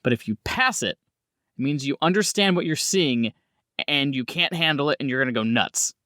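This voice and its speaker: A man's voice: medium pitch, lively intonation, moderate pace, bright, forceful tone, no echo, clean studio sound. A man acting as game master of a horror tabletop roleplaying game, explaining things in a conversational way.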